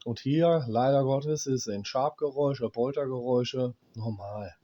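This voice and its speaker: Blunt German repairman with a cold, slow. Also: mid-range voice, dark tone, dull audio. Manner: blunt, plain-spoken, swears at cheap gear; pauses while working, talks to himself as he checks parts. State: has a cold, coughing. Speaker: appliance repairman. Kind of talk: hands-on repair explanation.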